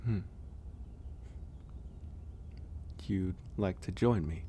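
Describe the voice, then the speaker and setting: A man playing a gentle, caring werewolf in an intimate ASMR roleplay, speaking softly and hesitantly with pauses. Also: low-pitched, a little hiss or hum, natural voice. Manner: soft, hesitant, pausing. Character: gentle, caring young male werewolf, shy and teasing. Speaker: audio roleplay voice actor. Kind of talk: ASMR roleplay, intimate one-sided dialogue.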